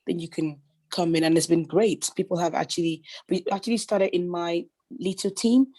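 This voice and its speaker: A woman with a husky voice from a sore throat, chatting casually as a participant on a video call. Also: fairly low pitch, fairly even intonation, moderate pace, dark tone. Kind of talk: casual chat.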